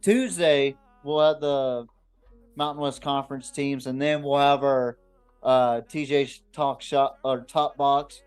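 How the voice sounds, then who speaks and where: A man hosting a casual, unscripted sports podcast, swearing freely and speaking bluntly in slangy, halting phrases that often repeat. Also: mid-range voice, fairly even intonation, clear, resonant tone, faint music behind. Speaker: podcast host.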